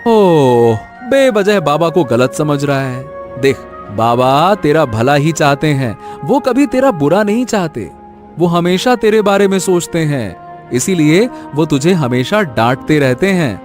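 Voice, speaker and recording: Guy doing a protective big brother, swoopy expressive voice, story drama, music behind. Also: on the high side, measured pace. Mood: chiding, reassuring. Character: caring elder brother, landlord's son, gently scolding.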